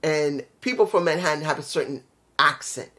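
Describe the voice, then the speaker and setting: A man's voice: fairly high pitch, moderate pace, sharp tone. A man with a New York accent, reviewing a wrestling show in a casual, rambling, blunt way, often repeating himself. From New York City. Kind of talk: casual solo video review.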